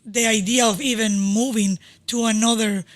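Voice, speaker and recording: A woman in her forties with a Venezuelan Spanish accent is a guest in a podcast studio. Her English is halting and warm, with frequent hesitations. Spanish is her first language, and it shows in her phrasing. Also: a little high, steady tone. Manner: warm, halting, with hesitations and non-native grammar. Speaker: guest, real estate agent. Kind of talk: casual interview.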